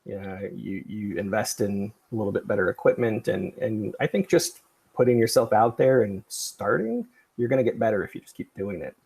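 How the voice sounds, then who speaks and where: Gravelly, husky man chatting casually. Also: on the low side, normal speed, a little mellow. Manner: rambling, digressive, self-effacing. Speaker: podcast creator and livestream host. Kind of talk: casual livestream chat.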